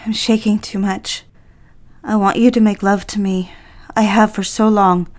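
A young woman, as an actress plays her, speaks in an audio drama with a mid-pitched voice. She is soft and hesitant, halting over her words in a nervous way. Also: level tone, close to an everyday voice.

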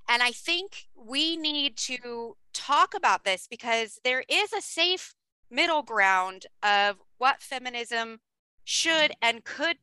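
A woman's voice: fairly high pitch, bright, forceful tone, clean tone, dry recording, rough recording. A woman guest co-host on a podcast who speaks in an upbeat, enthusiastic, articulate way. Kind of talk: casual podcast conversation.

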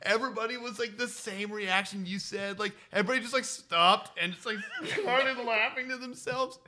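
A man's voice: high voice, moderate pace, background noise. A young man co-hosting a podcast, telling a rambling story in casual chat and laughing often. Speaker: podcast co-host.

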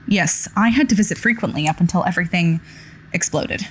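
A woman's voice: on the low side, brisk, slight background noise, lightly acted, low-quality recording. A woman playing a protective, wry sister from a wealthy family in a fiction podcast, with an emphatic way of talking.

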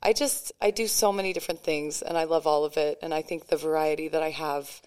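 A woman musician guest chatting eagerly on a podcast, her voice lower than usual today. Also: steady tone, brisk, a little mellow, clean tone. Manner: enthusiastic, bubbly, breaks into song. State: voice an octave lower than normal. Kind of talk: casual podcast chat.

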